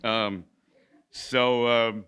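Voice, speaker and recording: Older man speaking at a podium, halting. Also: mid-range voice, level tone, a bit bright, clear, resonant tone. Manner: halting, many fillers and restarts, wry asides. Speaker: keynote speaker. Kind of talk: informal public talk. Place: school hall with a seated audience. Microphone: podium mic, standing at lectern.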